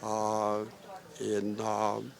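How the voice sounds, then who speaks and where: A gravelly, low-pitched man in his eighties speaks in a flat, even tone. He tells his story haltingly, pausing again and again on filler sounds. He is being interviewed live. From Ukraine. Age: 80s. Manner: halting, with many filler pauses. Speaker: Holocaust survivor interviewee.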